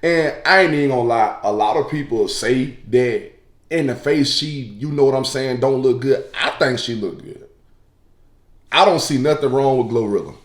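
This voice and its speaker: A man's voice: mid-range voice, moderate pace. A man giving a casual reaction in conversational slang, often seeking agreement, with the sound of the room around him. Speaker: reaction video commentator. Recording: roomy, echoing voice recording.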